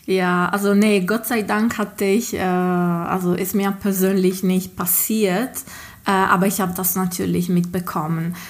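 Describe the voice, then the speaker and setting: A woman's voice: medium pitch, level tone, a little slow. A woman from Sardinia, a native Italian speaker with an Italian accent, chatting as a podcast guest and often searching for her words. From Sardinia, Italy. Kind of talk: casual interview chat.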